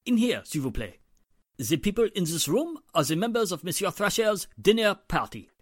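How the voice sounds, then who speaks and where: An actor playing an anxious, apologetic French café manager with a French accent and formal, deferential manners, in a radio drama. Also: male voice, sits high, sing-song, moderate pace, breathy edge, no room sound, clean studio sound, full character voice. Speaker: restaurant manager character.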